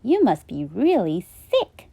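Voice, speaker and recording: A woman voicing an excited, insistent little boy in a storybook reading, with a high, eager, pleading manner. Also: slow, creaky, breathy edge, dry recording, exaggerated voice. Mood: caring.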